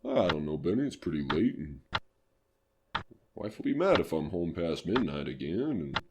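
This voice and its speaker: Man playing deep-voiced, weary, amiable businessman. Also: sing-song, normal speed, noisy background. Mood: tired, reluctant. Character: weary, amiable married businessman, reluctant to linger. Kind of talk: retro audio horror drama acting.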